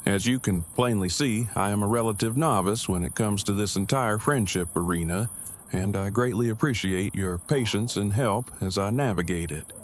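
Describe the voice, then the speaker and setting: A man's voice: lowish voice, normal speed, slight background noise, lightly acted. A man playing an awkward, earnest survivor in an audio drama, speaking in stiffly formal, long-winded, precise phrases. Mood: relieved, formal. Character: awkward, verbose, earnest male survivor and loyal friend. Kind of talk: fan audio drama acting.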